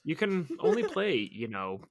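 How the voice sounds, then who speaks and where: A man's voice: on the high side, wildly swooping pitch, moderate pace. Wry young guy, dry humor. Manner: wry, self-deprecating. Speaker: podcast co-host. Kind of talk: casual chat.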